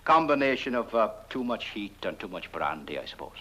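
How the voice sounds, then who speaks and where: Rambling British village constable, swooping intonation. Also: male voice, on the low side, sing-song, a little fast, fairly bright tone. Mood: fussy, probing. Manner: hesitant, rambling, throat-clearing, polite. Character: bumbling, deferential village police constable, tactlessly chatty. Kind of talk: comic horror film acting. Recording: dull old film soundtrack, faint hiss.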